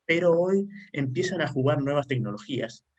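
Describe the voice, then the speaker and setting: A man's voice: fairly high pitch, animated, normal speed, a little mellow, muffled recording. A man from Argentina with an Argentine accent, speaking as a panelist in an online panel discussion. He explains his points at length and often checks in with a questioning "¿sí?". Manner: frequent questioning "¿sí?" tags, explanatory. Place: remote online conference.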